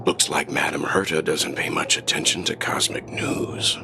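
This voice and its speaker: Calm polite space traveler in a game, really low voice, earnest, music under it. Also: male voice, vocal fry. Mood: diplomatic. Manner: polite, measured, sincere. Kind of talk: video game character acting.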